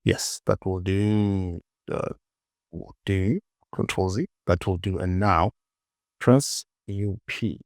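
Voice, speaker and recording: A man giving an informal software tutorial as a voice-over, often repeating words and short phrases. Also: low voice, fairly even intonation, slow pace, no echo, clean studio sound. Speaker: 3D modelling tutor. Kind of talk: casual screen-recorded software tutorial.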